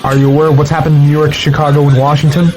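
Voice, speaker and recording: An actor playing a brisk, businesslike air traffic controller with a clipped official manner in an audio drama. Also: male voice, fairly high pitch, little pitch movement, crowd noise, close to an everyday voice. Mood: businesslike, urgent. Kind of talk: audio drama acting, radio procedure.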